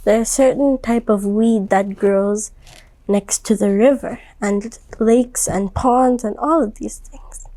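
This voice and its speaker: A woman's voice: fairly high pitch, slow pace, mellow, low-quality recording. A woman reading a picture book aloud to young children, explaining simply and putting questions to the listeners. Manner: simple, instructive phrasing with frequent questions. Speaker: volunteer children's book reader.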